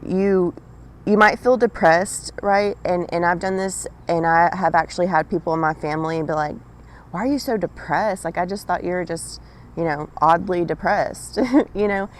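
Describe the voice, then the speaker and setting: Raspy, lower-pitched woman channeler, chatty direct-address reading, faint hiss behind. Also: a little slow. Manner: addresses listener directly, frequent "right?" and "okay?". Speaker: spiritual channeler. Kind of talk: casual spiritual monologue.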